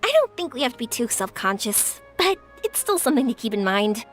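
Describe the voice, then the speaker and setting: A woman's voice: fairly high pitch, big pitch swings, brisk, faint music behind. A woman voicing a polite, curious young traveling girl in a video game, earnest and considerate. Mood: bright, friendly. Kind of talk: video game character acting.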